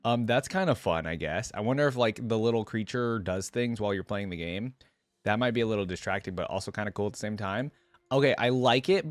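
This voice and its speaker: A man's voice: lowish voice, no room sound. A man hosting a reaction video, talking informally and musing aloud as he comments and reads along.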